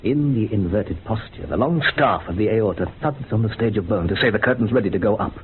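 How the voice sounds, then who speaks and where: A man's voice: on the low side, animated, a little fast, close to an everyday voice. An actor in a radio horror drama playing an intense, uncanny male occult adept with a portentous, commanding manner. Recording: tinny phone-like radio recording, faint noise.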